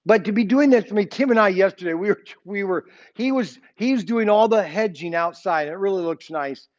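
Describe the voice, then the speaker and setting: A man's voice: high-pitched, a little fast, muffled recording. A man in his sixties teaching an informal Sunday school Bible lesson, rambling and often checking in with his listeners. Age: 60s. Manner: rambling, keeps checking in with "okay?".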